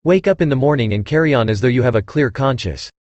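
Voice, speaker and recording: A man's voice: mid-range voice, expressive, fast, darkish tone, dry recording, highs cut off. A man reading scripted, accusatory narration in a reproachful, rhetorical way.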